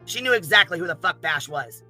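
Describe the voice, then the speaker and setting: A man's voice: high voice, animated, fairly quick, pushed and bright, dry recording, faint music behind. A man arguing in an informal, heated way, blunt and emphatic, with constant swearing.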